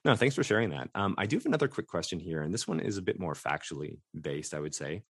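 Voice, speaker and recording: Podcast host: low-pitched man, curious interviewer, loose rambling questions, dry mic. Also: expressive, fast talker, husky, dry recording. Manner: curious, thinks aloud with fillers. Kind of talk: casual interview talk.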